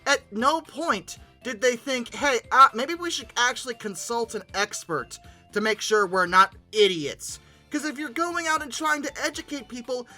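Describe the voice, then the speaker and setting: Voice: medium pitch, sharp tone. A host giving comedic commentary on pictures in informal, slangy talk, with exasperated, dramatic emphasis. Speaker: host of a paleoart commentary show.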